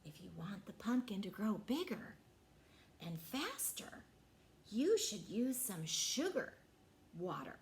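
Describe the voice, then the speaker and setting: A woman's voice: mid-range voice, sing-song, slow pace, slight background noise. A woman voicing an amazed, encouraging mother from a children's storybook. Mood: conspiratorial. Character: warm, amazed storybook mother giving advice.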